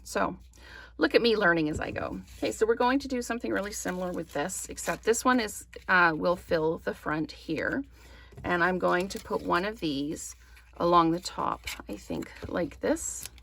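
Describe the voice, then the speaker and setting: Gravelly-voiced woman thinking aloud while crafting. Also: on the low side, lively intonation, normal speed, a bit bright. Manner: apologetic, chatty, thinks aloud with many ums. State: slightly hoarse, losing her voice. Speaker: crafting channel host.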